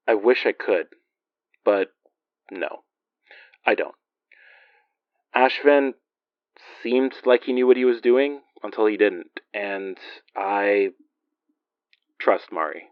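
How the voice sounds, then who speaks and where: Actor playing a low-voiced, wry, weary investigator. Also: male voice, drawn-out words, long pauses, no echo, muffled recording. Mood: subdued, troubled. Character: weary male investigator, wry, guarded, loyal friend. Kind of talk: naturalistic audio drama acting.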